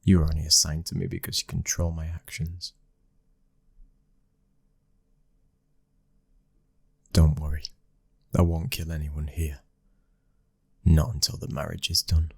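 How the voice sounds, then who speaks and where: A man's voice: extremely low pitch, little pitch movement, moderate pace, vocal fry, darkish tone, slightly rough, dry recording, clean studio sound, full character voice. A British man playing a cold, commanding young mafia boss's son in an ASMR roleplay, terse and domineering. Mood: cold, dismissive.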